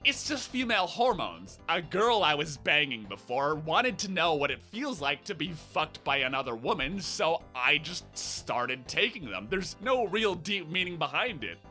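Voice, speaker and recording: A man's voice: fairly high pitch, very animated, a little slow, hardly any pauses, pushed and bright, faint music behind, exaggerated voice. A man voicing a flamboyant, flippant young male character with a breezy, camp, dismissive delivery.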